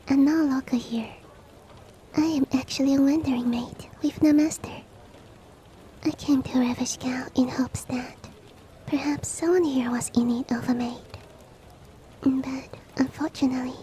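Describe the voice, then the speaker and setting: A woman voicing a courteous, coaxing monster-girl maid in a soft, breathy, whispery roleplay ASMR style with formal, old-fashioned politeness. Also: sits high, steady tone, normal speed, long pauses, slight background noise, lightly acted. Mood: wistful, polite. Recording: rain ambience behind the voice. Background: falling rain.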